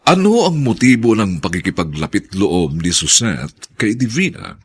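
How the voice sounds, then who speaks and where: A man with a Filipino accent and a gravelly voice, announcing a radio drama in dramatic scripted narration. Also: on the low side, big pitch swings, normal speed.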